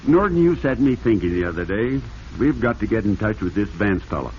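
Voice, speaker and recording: Hearty old-time boss, real animated n emphatic, muffled vintage radio. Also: male voice, lowish voice, a little fast, a little hiss or hum. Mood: brisk, pleased. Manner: emphatic, avuncular, excitable. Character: brisk, hearty male publishing boss. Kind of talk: 1940s radio acting.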